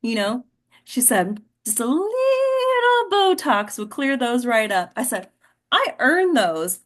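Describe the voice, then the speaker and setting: A woman playing a warm, wry mother in a naturalistic table read of a play, conversational and unhurried. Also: sits high, big pitch swings, exaggerated voice. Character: warm, wry mother who cherishes her wrinkles.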